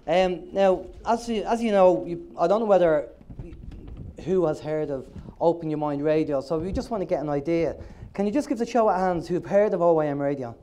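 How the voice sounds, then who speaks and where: A man's voice: fairly high pitch, moderate pace, dark tone. Nasal Irish man with a cold. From Ireland. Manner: chatty, joking, upbeat. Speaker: co-host of an internet radio show, conference speaker. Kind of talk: presenting on stage to a live audience. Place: conference hall on stage.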